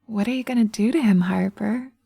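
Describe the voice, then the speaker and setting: A woman voicing a sweet, dreamy romantic witch in a roleplay audio drama, cooing gently and dotingly. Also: medium pitch, fairly quick, creaky, slightly rough, no room sound, studio quality. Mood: playfully ominous.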